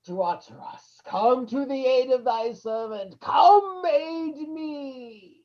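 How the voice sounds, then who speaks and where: Undead satanic fiend, high-pitched, theatrical drawn-out wails, radio horror play, dull lo-fi audio. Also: male voice, darkish tone, slight background noise. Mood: desperate agony. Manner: wailing, theatrical, drawn-out cries. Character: evil devil doctor, undead fiend of hell, fanatical. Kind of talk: old time radio horror acting. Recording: dull lo-fi recording.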